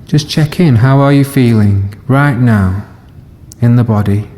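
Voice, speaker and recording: A man leading a guided body scan meditation as a teacher, speaking gently and unhurriedly in short phrases with long pauses. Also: medium pitch. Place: live session in a reverberant room. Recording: long reverb of a hall.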